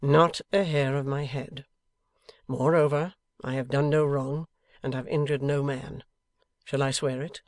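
A man reading as a solemn, affectionate young Victorian husband in a storybook, measured, formal and emphatic. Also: medium pitch, little pitch movement, dry recording, clean studio sound, natural voice. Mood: firm, reassuring.

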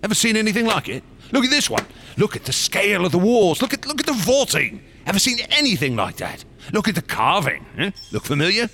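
A feverish, wildly expressive obsessed explorer. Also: male voice, sits high, brisk, hardly any pauses, a little hiss or hum, heavily acted. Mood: taunting, eager. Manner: theatrical, intense, swinging from hushed awe to eager bursts. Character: obsessed, boastful explorer man recounting a dark expedition, feverishly excitable. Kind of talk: radio horror drama acting.

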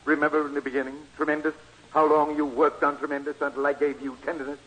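Indignant, insistent male boss played by an actor, rapid delivery, slightly high voice, vintage radio comedy. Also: flat delivery, dull audio, natural voice. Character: bossy, indignant male greeting-card company employer. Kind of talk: 1940s radio comedy acting. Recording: noisy old radio broadcast.

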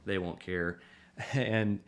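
A man talks in a slightly low voice, hosting a podcast as a preacher. He rambles casually through a self-deprecating story, peppered with "uh" and "you know.". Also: fairly even intonation, drawn-out words. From Tennessee. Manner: chatty, self-deprecating, frequent filler words.

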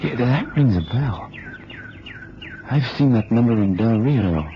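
An actor playing a restless, streetwise investigator in a radio drama, talking in a colloquial, muttering way and keen for action. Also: male voice, medium pitch, expressive, a little slow, lightly acted. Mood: tense, watchful. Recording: muffled, noisy old radio recording.

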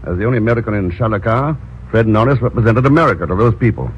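Um ator interpretando um agente secreto sereno e irônico, em drama de rádio dos anos 1950. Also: male voice, lowish voice, animated, racing, a little hiss or hum, lightly acted. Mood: persuasive. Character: suave male secret agent, calm and dry. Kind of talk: 1950s radio acting. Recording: dull old radio broadcast, a bit distant.

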